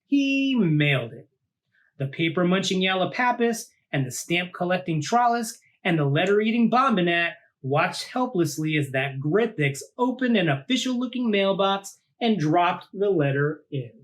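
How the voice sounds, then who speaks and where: A man reading a children's picture book aloud like a teacher, with deliberate dramatic phrasing and drawn-out words. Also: high-pitched, expressive. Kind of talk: animated storybook read-aloud for children.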